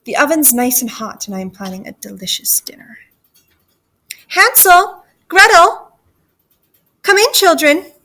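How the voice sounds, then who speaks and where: A woman's voice: mid-range voice, brisk, gravelly, hardly any pauses, a bit bright, slightly rough. A woman voicing a gloating, coaxing wicked witch in a children's storybook. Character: worst witch, gloating female villain luring children.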